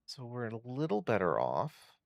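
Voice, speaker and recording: A man's voice: medium pitch, huge pitch swings, normal speed, creaky, no echo, lo-fi sound. A man giving casual video game commentary as a streamer, thinking aloud through tactics in short bursts. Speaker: gameplay streamer.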